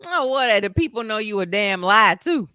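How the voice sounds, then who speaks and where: Bright, clear-voiced woman co-host with sassy comebacks. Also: medium pitch, animated, normal speed, no room sound, studio quality. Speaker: podcast co-host. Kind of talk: casual chat.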